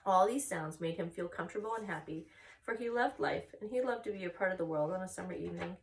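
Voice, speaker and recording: A woman's voice: lowish voice, quick pace, gravelly, recorded from a distance, a little hiss or hum. A woman reading a children's story aloud with expression as a story time narrator.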